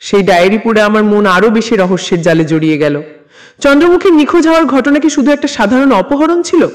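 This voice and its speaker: A man narrating a scripted first-person horror story in a measured, literary storytelling manner. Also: very high voice.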